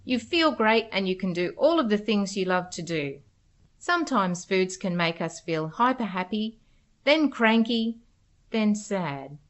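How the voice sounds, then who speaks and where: A woman reading scripted educational narration for preschoolers in simple, instructive phrases. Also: medium pitch. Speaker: narrator of children's educational material.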